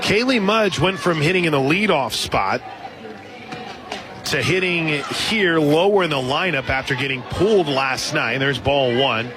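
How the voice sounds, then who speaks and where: A man doing live radio play-by-play commentary of a softball game as the announcer. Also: on the high side, a little slow, over music. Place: outdoor softball stadium broadcast position. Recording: loud stadium crowd noise behind. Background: loud crowd and ballpark ambience.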